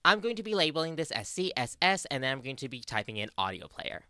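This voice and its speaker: A woman's voice: rumbling low, sing-song, quick pace, sharp tone, no room sound. A young adult woman with an Australian accent presenting a scripted tutorial into a close studio mic. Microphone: close studio mic on boom arm.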